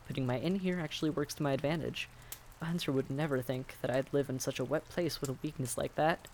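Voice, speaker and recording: Man playing a gravelly, friendly vampire innkeeper. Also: medium pitch, slight background noise, close to an everyday voice. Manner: gentle, folksy, politely advising. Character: friendly, hospitable vampire innkeeper, patient and reassuring. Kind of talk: immersive roleplay audio drama, addressing the listener.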